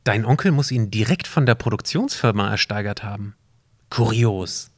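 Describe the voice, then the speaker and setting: A man's voice: fairly low pitch, expressive, moderate pace, no room sound, clean studio sound. A man playing a curious, sceptical time traveller in an amateur audio drama, casual and questioning.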